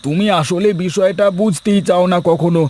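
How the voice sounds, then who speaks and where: A man's voice: high voice, normal speed, warm tone, natural voice. A man voicing an earnest, exasperated well-off city husband in a dramatised moral story.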